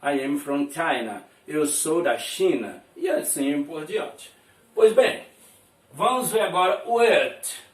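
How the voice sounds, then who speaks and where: Lively, high-voiced male teacher in an echoey room. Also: slow pace. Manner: repeats phrases, checks in with "né?" and "veja bem". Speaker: English teacher. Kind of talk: classroom lesson explaining words. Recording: echoey room, mic at a distance.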